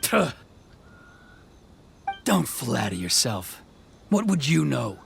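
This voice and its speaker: An actor playing a stern, proud samurai officer in a video game, formal, curt and scornful. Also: male voice, mid-range voice, sing-song, takes it slowly, vocal fry, over loud music, full character voice. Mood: curt, defensive.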